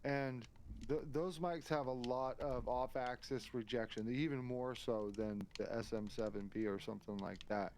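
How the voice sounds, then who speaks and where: Man talking over steady background noise. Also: mid-range voice, normal speed. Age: adult parent. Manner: hesitant, swears when annoyed. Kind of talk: casual chat.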